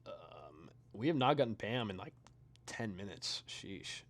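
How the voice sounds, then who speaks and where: A young man chatting casually with his chat while playing a video game. His voice is getting tired, and he rambles, trails off into quiet mumbles, then comes back in short louder bursts. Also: fairly low pitch, very animated, a little slow. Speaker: streamer reading chat.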